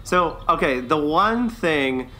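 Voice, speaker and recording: A man co-hosting a podcast in casual chat, with an informal, loose and halting delivery. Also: a little high, expressive, bright, forceful tone. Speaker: podcast co-host.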